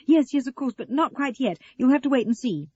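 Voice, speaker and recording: A woman's voice: high-pitched, expressive, fairly quick, dark tone, husky, no room sound, highs cut off, voice pushed up. A woman voicing a flustered, guilty adult daughter in an audio story, hesitant and placating.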